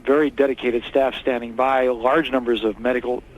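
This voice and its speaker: A man's voice: medium pitch, level tone, normal speed. A man giving a live news report from the scene. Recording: dull lo-fi broadcast, faint noise.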